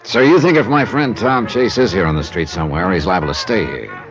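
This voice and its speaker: An actor playing a hard-boiled, wry insurance investigator in a 1950s radio detective drama. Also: male voice, low-pitched, animated, fairly quick. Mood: probing, casual. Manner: wry, clipped, casual tough-guy talk. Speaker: detective narrator and lead. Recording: dull old radio recording, music behind. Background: jazz music.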